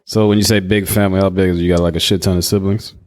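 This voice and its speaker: A man's voice: low-pitched, little pitch movement, racing, smooth, dry recording. A man hosting a podcast, asking casual, informal questions. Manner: laid-back, informal questions. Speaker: podcast host. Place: Oakland area podcast.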